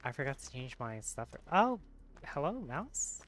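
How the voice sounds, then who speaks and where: A live streamer with a tired, hoarse voice, chatting casually with viewers, giggling often and correcting themself mid-sentence. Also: medium pitch, very animated, measured pace, fairly bright tone, slight background noise. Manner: giggly, laughs often between remarks; rambling, self-correcting. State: voice worn and hoarse from long streaming.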